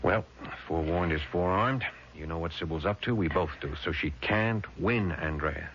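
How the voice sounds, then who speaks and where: An actor playing a shrewd, protective lawyer in 1970s radio drama, speaking in a deliberate, hard-boiled, probing way. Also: male voice, low-pitched, a bit bright. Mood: reassuring. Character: shrewd, cool-headed male lawyer, protective and persistent. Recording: dull, noisy vintage radio recording.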